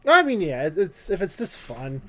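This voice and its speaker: A man's voice: high-pitched, wildly swooping pitch, fairly quick. A man co-hosting a casual chat about films and games, opinionated and swearing freely. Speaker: podcast co-host.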